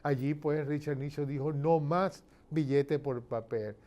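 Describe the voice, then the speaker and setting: A Venezuelan man speaks as a political commentator giving an informal lecture. His voice sits a little high, with a soft, mellow tone. He explains emphatically, often posing rhetorical questions and checking that people follow. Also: fairly even intonation, a little slow.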